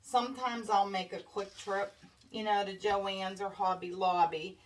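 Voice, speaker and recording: Stuffy-nosed woman, chatty craft vlogger, slow and fretful, mic set back, faint hiss. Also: medium pitch, steady tone. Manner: chatty, worried, addresses viewers as guys. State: congested, stuffy nose. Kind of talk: casual chat while crafting. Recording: distant mic with faint background noise.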